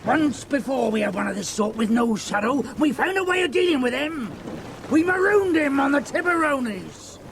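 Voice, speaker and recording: An actor playing a ruthless pirate captain who barks orders in an audio drama. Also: male voice, extremely high pitch, animated, moderate pace, crowd noise, full character voice. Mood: stern. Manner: barking orders. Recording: clear sea ambience noise behind.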